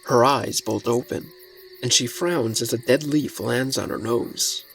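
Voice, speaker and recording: A man's voice: mid-range voice, measured pace, vocal fry, a bit bright, a little hiss or hum, poor audio. A man narrating a scripted fantasy story in the present tense as its narrator. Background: faint music.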